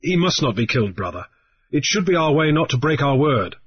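A man voicing a calm, grave male sea captain with a dry wit, who speaks in a measured way. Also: on the high side, breathy edge, highs cut off, voice pushed up.

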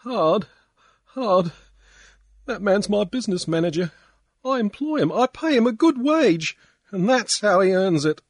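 A man voicing a self-pitying drunken young gentleman who blubbers and whines in a high, loud voice. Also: expressive, normal speed, dry recording, clean studio sound. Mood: blubbering. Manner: blubbering, sobbing and whining.

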